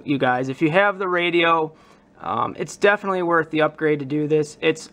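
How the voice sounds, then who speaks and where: A man's voice: a little high, fairly bright tone. A man presenting a prop modding demonstration in an informal, enthusiastic, casual explanatory way. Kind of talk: casual explanatory hobby vlog.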